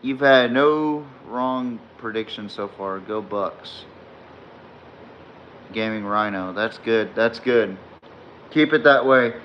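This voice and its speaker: A man hosting a late-night sports podcast with a slightly hoarse voice, rambling with many fillers while reading and answering chat comments. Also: mid-range voice, slow pace, long pauses, dull audio, a little hiss or hum. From Texas fan, not necessarily from Texas. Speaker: podcast host. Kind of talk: live-streamed sports podcast recap.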